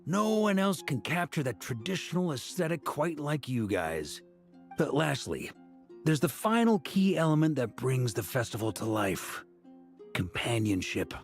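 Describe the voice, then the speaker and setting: An actor playing an easygoing, hearty, upbeat adventurer in a video game, breezy and reassuring. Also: male voice, medium pitch, big pitch swings, unhurried. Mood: cheerful. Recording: music behind the voice.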